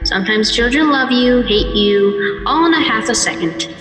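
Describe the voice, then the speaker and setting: Woman acting an anxious, high-voiced mother. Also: lively intonation, normal speed, music in the background, lightly acted. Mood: brooding. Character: anxious, hesitant housewife and mother. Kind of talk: amateur audio drama acting.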